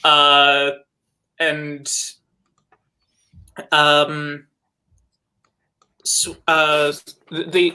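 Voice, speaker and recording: A man's voice: fairly high pitch, sharp tone. A man chatting casually as a player in a tabletop roleplaying game. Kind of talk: casual chat.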